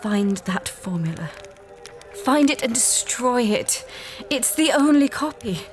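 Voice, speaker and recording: Remorseful dying scientist; slow, pleading delivery over a music bed. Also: female voice, fairly high pitch, animated. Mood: urgent. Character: guilt-ridden ghostly woman scientist, dying. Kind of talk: audio drama acting. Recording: music underneath.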